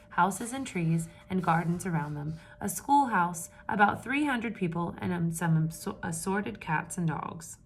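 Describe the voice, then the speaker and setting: A woman reading a picture book aloud to children with lively expression, pausing to ask her listeners questions in hushed asides. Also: mid-range voice. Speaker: read-aloud storyteller for children.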